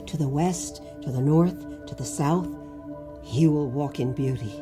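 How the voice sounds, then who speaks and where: A woman's voice: deep voice, a little slow. A woman voicing an eager, loving young boy who earnestly begs and questions, in a dramatic storybook read-aloud. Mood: earnest, wondering. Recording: music under the voice.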